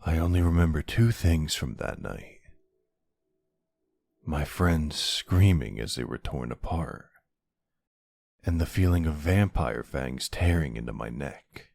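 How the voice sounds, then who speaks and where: A man playing a brooding, centuries-old vampire hunter of his own kind in an ASMR roleplay, speaking in a gravelly, deliberate, halting way. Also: a bass voice, animated, darkish tone, exaggerated voice. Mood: somber, regretful. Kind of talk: ASMR audio roleplay, scripted dramatic monologue.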